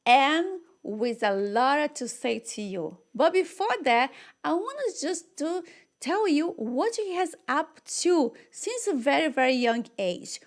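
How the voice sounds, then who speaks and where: A woman from Rio de Janeiro, whose first language is Portuguese, hosts an interview show with effusive, exclamatory talk and non-native English phrasing. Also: high voice. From Brazil, Rio de Janeiro. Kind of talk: enthusiastic interview chat.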